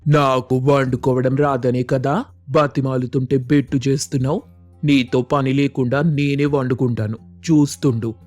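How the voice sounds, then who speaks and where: A man's voice: medium pitch, flat delivery, normal speed, darkish tone, no echo, faint music behind, lightly acted. A man playing a food-loving, stubborn village husband in a moral story, speaking colloquially in a pleading, grumbling way. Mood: huffy. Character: food-obsessed, stubborn village husband craving chicken pulao. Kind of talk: Telugu moral story voice acting.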